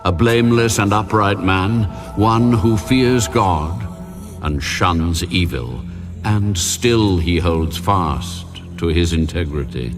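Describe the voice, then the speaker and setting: Almighty deity in scripture drama. Grave, authoritative, deep and unhurried. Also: male voice. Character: God, solemn, commanding, all-powerful male deity.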